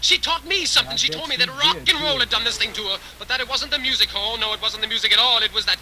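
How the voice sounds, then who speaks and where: A man's voice: very high-pitched, fast, crowd noise. A man playing a fervent, hypocritical fire-and-brimstone preacher, ranting theatrically in a parody sermon heard over a radio. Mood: alarmed, preachy. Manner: ranting, theatrical, overwrought, stammering repetitions. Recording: car radio sound with loud voices behind.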